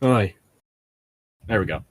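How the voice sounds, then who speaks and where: A man's voice: fairly low pitch, lively intonation, takes it slowly, dry recording. A man chatting casually and jokingly with friends, prone to breaking into laughter. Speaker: co-host reading a book aloud with friends. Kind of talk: casual joking group chat.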